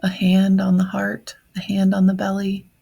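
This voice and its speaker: A woman leading a guided meditation in a soft, breathy voice, speaking gently in short phrases with long pauses. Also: medium pitch, monotone. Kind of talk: guided meditation on grief, slow and reflective.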